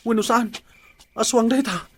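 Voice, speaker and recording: A man's voice: extremely high pitch, moderate pace, breathy edge. A man playing a nervous, superstitious young sidekick watchman in an Ilocano comedy radio drama, with an Ilocano accent. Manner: nervous, deferential. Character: timid, superstitious junior village watchman, easily spooked.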